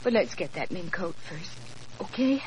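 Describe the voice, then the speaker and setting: A woman's voice: fairly low pitch, expressive, normal speed, a little mellow, slight background noise, natural voice, low-quality recording. An actress playing a coaxing, girlish gangster's moll in 1940s radio style, cooing slangy pet names. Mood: coy. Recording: old radio recording with background voices.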